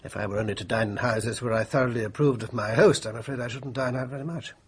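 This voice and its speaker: A male actor plays an upper-class British army major in a radio play. His voice is markedly rough and medium in pitch. He is curt and dry, with clipped, disdainful delivery. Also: quick pace, close to an everyday voice. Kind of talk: radio drama acting.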